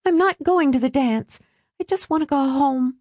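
A woman's voice: sits high, normal speed, darkish tone, no room sound, clean studio sound, voice pushed up, natural voice. A woman voicing a distraught, stubborn teenage girl in an audiobook, groaning and pleading. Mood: miserable, refusing.

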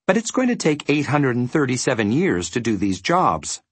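An earnest young boy in a storybook , as a male reader puts him on. The voice sits above a man's usual pitch and moves in a lively way. He sounds polite and unsure of himself. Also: moderate pace, slightly rough, no echo. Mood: polite, concerned. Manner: polite and tentative. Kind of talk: storybook character voice.

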